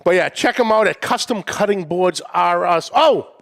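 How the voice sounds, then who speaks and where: Higher-voiced man hosting a casual livestream. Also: moderate pace, gravelly, a bit bright. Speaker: show host. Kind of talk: casual livestream banter and guest introduction.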